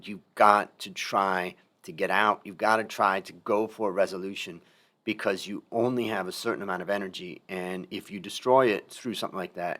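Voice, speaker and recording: A middle-aged man with a fairly low voice hosts a podcast conversation. He talks in a reflective, emphatic way and comes back to his main points more than once. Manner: reflective, emphatic, repeats key phrases. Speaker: podcast host. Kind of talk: casual interview talk.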